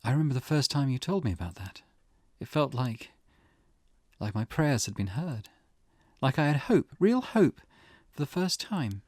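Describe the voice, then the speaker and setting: A man voicing a grieving, haunted mother with quiet resolve, speaking in a hushed, hesitant manner in a visual novel. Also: medium pitch, big pitch swings, moderate pace, no echo, studio quality, voice pushed up. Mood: grieving, wistful. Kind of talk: character voice reading visual novel dialogue.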